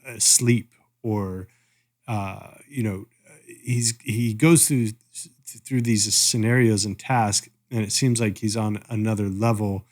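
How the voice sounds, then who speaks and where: A man co-hosting a casual review podcast, thinking aloud in a halting, musing way. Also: lowish voice, fairly even intonation. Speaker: podcast co-host.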